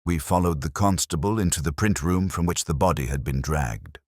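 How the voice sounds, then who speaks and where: Very deep-voiced man narrating a dramatic story. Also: darkish tone, dry recording, studio quality. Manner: measured, formal, literary delivery. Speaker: audiobook narrator.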